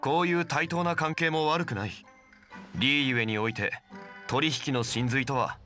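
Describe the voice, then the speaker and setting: An actor voicing a composed, learned gentleman in an anime game, formal, patient and measured. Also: medium pitch, soft background music, close to an everyday voice.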